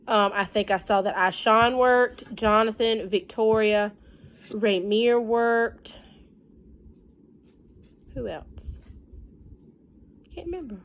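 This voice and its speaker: High-voiced Southern US woman teacher. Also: a little hiss or hum. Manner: sing-song when reading, folksy and conversational in asides. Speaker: teacher reading aloud to children. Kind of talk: picture-book read-aloud with casual asides.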